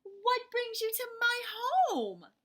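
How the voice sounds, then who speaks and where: A woman's voice: very high voice, huge pitch swings, slow pace, a bit bright, voice pushed up, exaggerated voice. A woman voicing a kindly, hearty female cook in a storybook read-aloud. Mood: hearty, welcoming.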